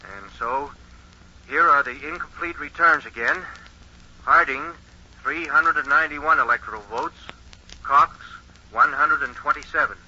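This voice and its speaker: An actor playing a dignified 1920s station announcer, reading final returns in a measured, formal, declamatory radio drama style. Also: male voice, on the high side, bright, forceful tone, husky, exaggerated voice. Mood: formal, proud. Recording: dull, muffled old radio recording.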